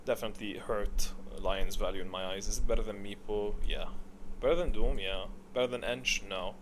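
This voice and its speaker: A man's voice: on the low side, normal speed, fairly bright tone. Casual man thinking aloud, noisy recording. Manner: opinionated, thinking aloud, asking and answering own questions. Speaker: video creator ranking game characters. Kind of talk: casual unscripted commentary.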